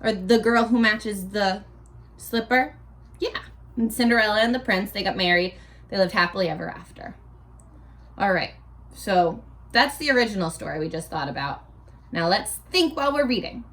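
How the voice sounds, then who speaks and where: A woman teaching young children in a read-aloud lesson, speaking in an animated, playful way and asking questions as if the viewers answer back. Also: medium pitch, normal speed, pauses often. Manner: asks questions and answers imagined replies.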